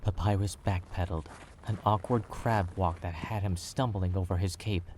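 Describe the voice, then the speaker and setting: A male narrator with a very low, deep voice reads the story in a calm and measured way. His tone stays steady and controlled as he carries the prose. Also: slight background noise.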